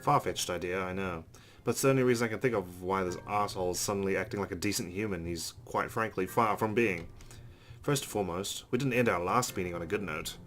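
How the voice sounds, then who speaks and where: A man's voice: low-pitched, fast, a bit bright. A man hosting a let's play, reading visual novel narration aloud. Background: game music.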